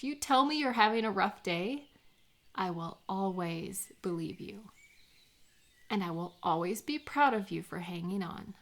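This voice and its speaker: A woman acts out a caring best friend in an audio roleplay, gentle and encouraging. She takes her time with every phrase, speaking at a slow, easy pace in a medium-pitched voice. The delivery is sincere, close and conversational. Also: animated. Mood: tender, earnest. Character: supportive, playful best friend out birdwatching, gentle and affectionate. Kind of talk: lighthearted comfort audio roleplay, intimate one-sided conversation.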